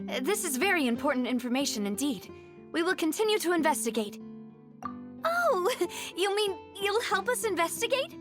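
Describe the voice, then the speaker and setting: A woman voicing a young, confident, warm and spirited female syndicate boss in a video game, with a breezy, gracious manner. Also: extremely high pitch, sing-song, normal speed, over music. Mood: pleased, flustered. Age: young adult.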